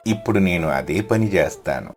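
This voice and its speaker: A man's voice: low-pitched, animated, moderate pace, faint music behind. A man voicing a poor, devout villager in a moral story, earnest and humble. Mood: hopeful. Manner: earnest, pleading.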